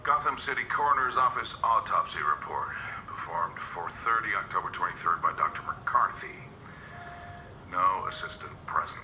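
A jaded coroner in a video game, dictating with weary sarcasm. His low, deadpan voice echoes on a noisy recorded log. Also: moderate pace, full character voice. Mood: dry, procedural. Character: bored, jaded male city coroner.

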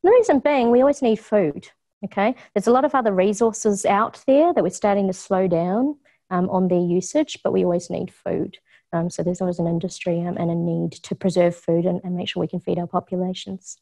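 A woman's voice: lowish voice, brisk, gravelly, mellow. A middle-aged woman with a New Zealand accent, giving a casual community talk on food science with lots of ums, standing in an office kitchen. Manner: chatty, frequent ums, laughs easily.

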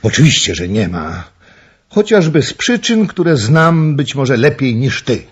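A very husky older gentleman in a radio play, stern yet courteous. His formal speech swings widely in pitch, and the recording is slightly dull. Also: sing-song, unhurried. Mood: stern, guarded. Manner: formal, courteous, hesitant asides. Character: older upper-class uncle, a stern, courteous doctor. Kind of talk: radio drama acting.

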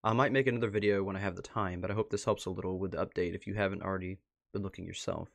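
A man with a fairly low voice hosts a livestream in a casual, chatty way. He talks as if to viewers and to himself, dropping muttered asides as he works through what is on his computer. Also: fairly even intonation, very fast, vocal fry. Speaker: livestream host. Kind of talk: casual livestream commentary, reading posts aloud. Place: indoors at a computer.

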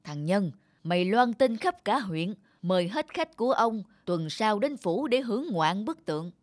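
A stout, wealthy village landlord from a cartoon. He speaks in a high, swinging voice, proud and emphatic, with formal, blustering phrasing. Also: very animated, measured pace, dry recording, clean studio sound. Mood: commanding. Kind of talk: cartoon dialogue.

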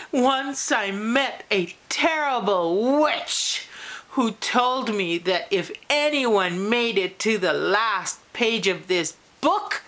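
A desperate storybook character begs and pleads in a high, wildly swooping voice, as a woman reads it aloud. Every line is drawn out very slowly and theatrically. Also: a bit bright, heavily acted.

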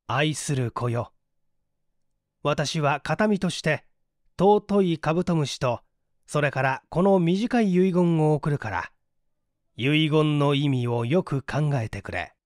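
Man voicing grave father's letter to his son, audiobook, deliberate, slightly high voice, varied pitch. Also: no echo, clean studio sound. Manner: solemn, deliberate, admonishing. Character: solemn father's written testament to his son. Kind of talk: audiobook letter read aloud.

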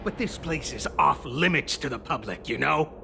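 A man's voice: mid-range voice, expressive, moderate pace, gravelly, over music. An actor playing an adult male commission officer in a game, lecturing trespassing kids. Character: gruff adult male commission officer, stern but easygoing.

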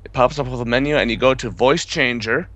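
Man, average pitch, casual gaming banter, online voice call. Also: fairly quick, a bit bright, dry recording. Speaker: player in an online horror game. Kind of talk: casual chat while gaming. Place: voice call over the internet.

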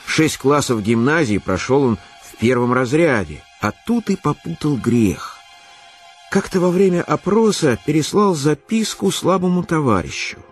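An actor narrating a Russian literary radio play as an expressive, measured male storyteller. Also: on the high side, faint music behind. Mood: engaged storytelling.

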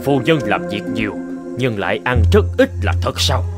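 A man's voice: medium pitch, big pitch swings, moderate pace, music in the background, lightly acted. A man playing a loyal, anxious steward in a cartoon folktale, speaking in a deferential, pleading way. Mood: pleading, earnest. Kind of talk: animated folktale acting.

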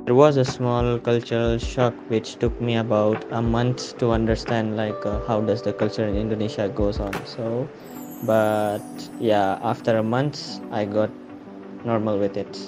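A male student speaking in a friendly, relaxed way. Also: lowish voice, a little slow, over music, rough recording.

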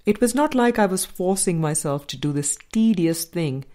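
A woman narrating an audiobook, reading from a script in a measured manner. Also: lowish voice, expressive, mellow.

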